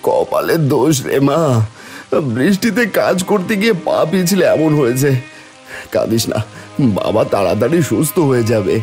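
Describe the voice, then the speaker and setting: A man's voice: mid-range voice, big pitch swings, normal speed, darkish tone, soft background music, natural voice. Casting: male actor for an injured, poor labourer dad in a cartoon, plaintive, swooping intonation. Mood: tender, consoling. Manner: plaintive, groaning over pain. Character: poor injured labourer, loving father of a little girl. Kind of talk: Bengali fairy-tale cartoon dubbing.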